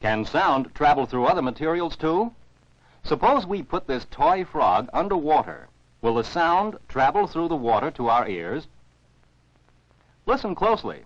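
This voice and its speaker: A man's voice: on the high side, sing-song, a little slow, dry recording. An American man narrates scripted science for children as an educational film narrator, in a didactic, carefully enunciated way. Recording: dull, dry 1949 film soundtrack.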